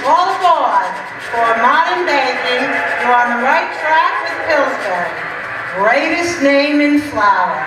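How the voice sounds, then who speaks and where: A woman acting a grand female 1940s radio drama announcer, declaiming in a high, unhurried voice. The sound is distant and heavily echoed. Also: expressive. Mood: grand, urgent. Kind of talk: scripted 1940s radio narration. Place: amateur stage show in a large echoey room. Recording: very echoey hall, far mic, loud noise.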